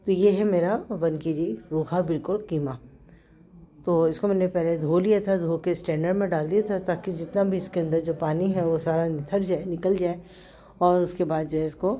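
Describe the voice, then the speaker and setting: A woman with a Pakistani Urdu accent and a hoarse, sore throat, chatting through a home recipe step by step and correcting herself as she goes. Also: sits low, dark tone. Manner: chatty, explains step by step with small self-corrections. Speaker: home cook host. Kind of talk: casual cooking narration.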